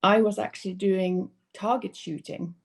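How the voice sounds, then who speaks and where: A young woman from Norway chats easily as a podcast guest, with a relaxed, unhurried way of talking. She laughs readily and often restarts a sentence before finishing her thought. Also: medium pitch, vocal fry. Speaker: guest, powerboat racer. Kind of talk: casual podcast interview.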